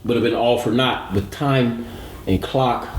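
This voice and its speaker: A man's voice: medium pitch, fairly even intonation, unhurried. A man giving emphatic, analytical sports commentary as a show co-host, repeating points for emphasis. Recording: roomy echo, audible background noise.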